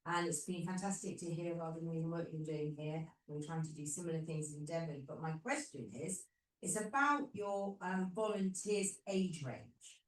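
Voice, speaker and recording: Friendly low-pitched woman from England. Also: normal speed. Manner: friendly, loud voice. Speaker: chief executive, audience member. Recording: roomy hall, mic at a distance.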